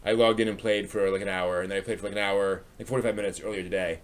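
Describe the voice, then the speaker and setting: A man hosting a casual livestream, rambling and thinking aloud as he chats with viewers in a halting way. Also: low voice, fairly even intonation. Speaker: live stream host. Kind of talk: casual livestream chat, answering viewers' comments.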